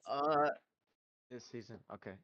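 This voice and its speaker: A young man chatting casually on a stream, laid-back, giving short replies that trail off quietly. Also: mid-range voice, unhurried. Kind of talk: casual livestream chat. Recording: dull lo-fi audio.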